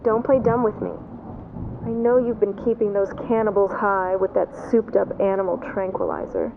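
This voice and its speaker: A woman's voice: mid-range voice, animated, normal speed, lightly acted. A woman playing a defiant, confrontational detective in a radio drama, tinny and noisy. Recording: tinny lo-fi with noise.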